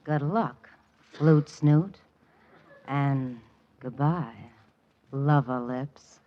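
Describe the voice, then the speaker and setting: Sultry lady doctor, brassy, bossy, unhurried drawl, 1940s radio comedy, very noisy recording. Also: very deep voice, lo-fi sound, heavily acted. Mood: wry.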